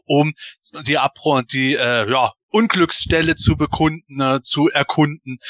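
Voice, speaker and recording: A man's voice: mid-range voice, bright, forceful tone, no room sound. A man chatting casually as a podcast panelist, enthusiastic, rambling and anecdotal. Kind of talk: casual chat, fan commentary.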